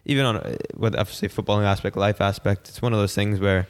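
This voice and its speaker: With long reverb around him, a man speaks in a fairly low voice. He is a native Italian speaker having a casual chat, thoughtful and earnest, feeling his way through his phrases. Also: fairly even intonation, quick pace, clear, resonant tone.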